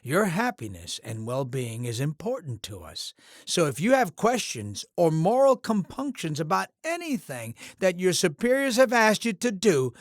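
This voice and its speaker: A man's voice: sits high, wildly swooping pitch, moderate pace, no echo, studio quality, full character voice. A man playing a sleazy, bombastic alien TV mogul villain in a video game, with theatrical, condescending showbiz patter. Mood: smarmy corporate.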